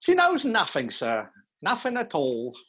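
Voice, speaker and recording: An actor playing an earnest detective sergeant in a radio play, reporting deferentially to a superior. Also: male voice, sits high, huge pitch swings, measured pace, fairly bright tone, highs cut off, a little hiss or hum, exaggerated voice. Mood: earnest, dutiful.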